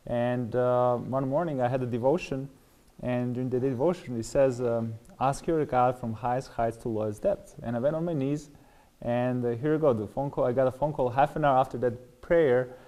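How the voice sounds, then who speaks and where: Male interview guest, middle-aged, Serbian accent, dark relaxed mid-range voice, hesitant storytelling. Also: medium pitch, moderate pace. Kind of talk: personal testimony in interview.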